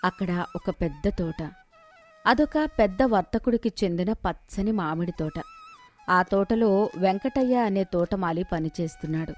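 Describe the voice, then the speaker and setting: A woman's voice: mid-range voice, expressive, measured pace, faint music behind. A woman reading scripted narration as the storyteller of a moral tale.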